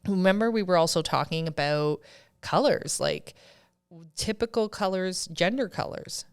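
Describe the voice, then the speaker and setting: A woman in her thirties co-hosting a podcast in casual, emphatic chat, quick to push back. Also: fairly low pitch, animated, vocal fry, clean tone. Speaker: podcast co-host.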